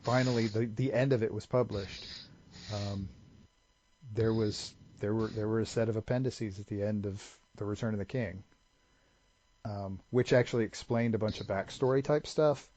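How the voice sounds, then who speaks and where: A man's voice: on the low side, steady tone, moderate pace, warm tone. A man co-hosting a casual podcast chat, explaining things at length and wandering into tangents. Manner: rambling, tangent-prone lore explainer. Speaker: podcast co-host. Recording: slightly dull lo-fi audio with faint hiss.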